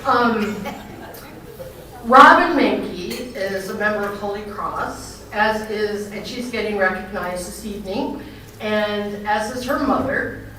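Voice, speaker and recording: A woman's voice: mid-range voice, takes it slowly, bright, forceful tone. Big-voiced woman pastor in an echoey hall. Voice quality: big, projecting voice. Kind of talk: informal remarks and spoken prayer to a gathering. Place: large echoing indoor banquet venue. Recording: very echoey hall, distant mic, faint noise.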